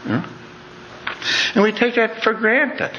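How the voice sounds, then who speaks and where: A man's voice: high-pitched, unhurried, pushed and bright, slight background noise. A middle-aged man giving an earnest, conversational talk, often saying "you know" and restarting his phrases. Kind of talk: reflective lecture to an audience. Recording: dull lo-fi recording in a reverberant hall.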